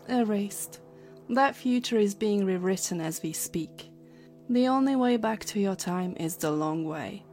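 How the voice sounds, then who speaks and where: Woman playing a smug, mellow-voiced villainess. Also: mid-range voice, lightly acted. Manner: deliberate, condescending, coolly menacing. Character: smug, calculating female villain of a time-travel agency. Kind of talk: sci-fi audio drama acting.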